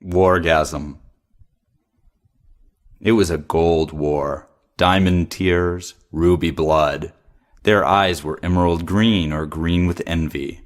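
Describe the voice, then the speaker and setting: Deep-voiced man slowly reciting poetry. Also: steady tone. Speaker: poetry reader.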